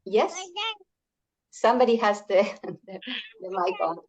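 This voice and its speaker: An older woman from Chile, whose first language is Spanish, gives a community workshop talk over an online call, speaking haltingly with many fillers and restarts. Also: mid-range voice, darkish tone, lo-fi sound.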